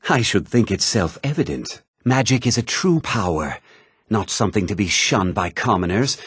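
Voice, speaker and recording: An actor voicing a learned, haughty mage scholar in a fantasy video game, speaking formally and with measured, precise diction. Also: male voice, on the low side, slightly rough, no room sound, studio quality. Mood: lofty, earnest. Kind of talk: scripted fantasy game dialogue.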